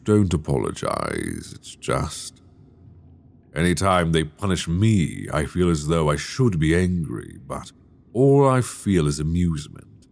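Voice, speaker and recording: A man performs a fallen king in chains for an intimate ASMR roleplay, speaking in a very deep, gravelly voice right at the microphone. He is slow and seductive, regal and condescending, with menace beneath his possessive tenderness. His intonation swings expressively. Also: full character voice. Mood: tender, amused. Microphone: close binaural microphone.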